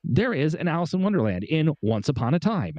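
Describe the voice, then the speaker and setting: Animated guy chatting pop culture, bit stuffed up from a cold, voice swoops around, close dry sound. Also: on the high side, fairly quick, no room sound, highs cut off. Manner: excitable, emphatic, repeats himself. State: congested, coming off a cold. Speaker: podcast co-host. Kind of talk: animated casual chat about pop culture.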